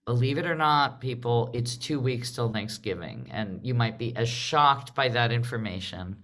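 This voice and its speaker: A woman casually hosting a live online theatre event on a video call, talking in halting phrases and checking in with her listeners. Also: a bass voice, a bit bright. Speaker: host of a live theatre discussion.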